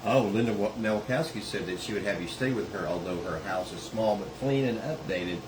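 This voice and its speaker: A man's voice: on the low side, fairly quick, noisy background. A man, casually teasing his wife and reading out viewer comments. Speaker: host's husband and co-host. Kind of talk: casual livestream banter.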